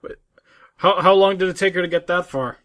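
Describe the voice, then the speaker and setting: A man's voice: sits high, brisk, fairly bright tone. A man co-hosting a casual, conversational chat about video games. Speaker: podcast co-host.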